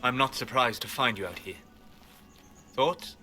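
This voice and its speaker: An actor playing a wry, gallant companion in a video game, speaking in a low-key, intimate way. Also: male voice, a little slow, pushed and bright, soft background music. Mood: gentle, wry. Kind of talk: naturalistic video game acting.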